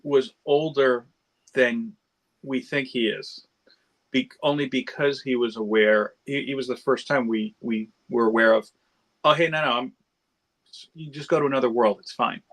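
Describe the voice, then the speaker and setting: A man with an average-pitched voice talks as a panelist. He puts forward his view in a casual, conversational style. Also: fairly even intonation, normal speed, a bit bright. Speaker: panelist in a read-along discussion. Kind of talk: casual discussion, offering an opinion.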